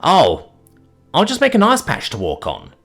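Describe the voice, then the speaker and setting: A man's voice: high voice, huge pitch swings, a little slow, vocal fry, fairly bright tone, faint music behind, voice pushed up, exaggerated voice. A man voicing a playful, cheeky young male dragon who teases and jokes carefree. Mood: cheerful. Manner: teasing, sarcastic, carefree.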